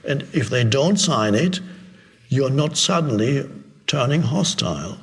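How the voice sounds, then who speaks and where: A man giving an unscripted spiritual talk as a teacher, reflective and halting, pausing and rephrasing as he goes. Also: medium pitch, lively intonation. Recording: long reverberant hall echo.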